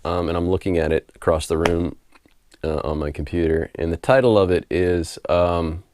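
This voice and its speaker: A middle-aged man with a hoarse voice from a head cold, talking to camera at home in a relaxed, rambling way, often repeating words as he gathers his thoughts and laughing softly now and then. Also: deep voice, normal speed. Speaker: spiritual author and video host. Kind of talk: casual unscripted monologue to camera. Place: home room.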